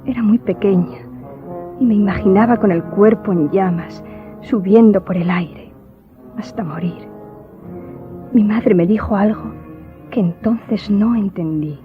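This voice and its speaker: An actress playing a sorrowful young woman recalling childhood horror, telling it gravely and wistfully in a radio drama. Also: mid-range voice, unhurried, long pauses, close to an everyday voice. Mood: fearful remembering. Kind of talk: Spanish radio drama acting. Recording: dull lo-fi audio, faint music.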